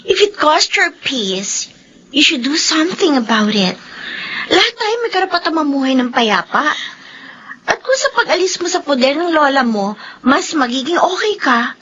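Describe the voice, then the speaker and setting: Very high, lively young Filipina friend. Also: female voice, normal speed, highs cut off, slight background noise, exaggerated voice. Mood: supportive. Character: outgoing, supportive young Filipina classmate mixing English. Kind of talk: Filipino radio drama acting.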